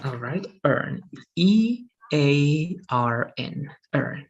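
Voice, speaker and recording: A man's voice: fairly high pitch, expressive, very slow, lo-fi sound, slight background noise. A man in his thirties teaching an online English class, patiently checking understanding with frequent "okay?" and "right?". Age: 30s.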